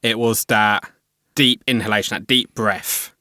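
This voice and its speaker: Man, young adult, earnest, reflective, slight stammer, lowish voice, dry close mic. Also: fairly even intonation, a little slow, bright, forceful tone, no room sound. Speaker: guest. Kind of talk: candid conversational interview.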